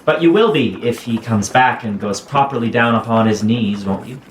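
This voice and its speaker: A man playing an idle, lovelorn young gentleman in a period audio drama, bantering wryly with a wounded edge. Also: medium pitch, moderate pace, a little hiss or hum, lightly acted. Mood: probing, disapproving.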